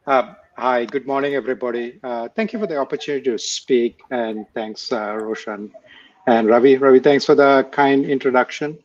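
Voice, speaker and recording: A man from Malaysia, a guest answering interview questions in a measured, hesitant way with many fillers. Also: mid-range voice, no room sound, highs cut off. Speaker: guest, former startup executive.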